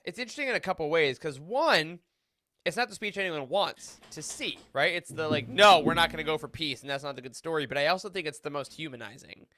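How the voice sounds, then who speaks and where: A man's voice: fairly high pitch, animated, fast talker, bright, forceful tone, dry recording. A man discussing a story casually on a podcast roundtable, with an emphatic, informal way of talking. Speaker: podcast panelist.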